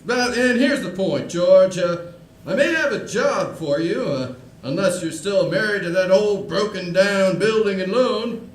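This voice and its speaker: Brash young dealmaker, male actor, radio-play style, boisterous, very high voice, echoing stage sound. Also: measured pace. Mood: boastful, pushy. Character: brash, hearty young businessman pitching big deals. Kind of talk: live 1940s radio play acting. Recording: roomy live stage recording.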